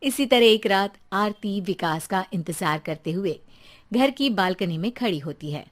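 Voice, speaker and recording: A woman's voice: mid-range voice, animated, a little slow. A woman narrating a scripted story in a dramatic storytelling style.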